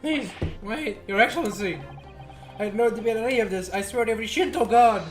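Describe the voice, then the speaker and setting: A panicked courtroom witness from a video game, acted by a man pleads frantically. The voice is pushed high and emphatic, with faint music behind it. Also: fast talker, lightly acted. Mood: desperate pleading.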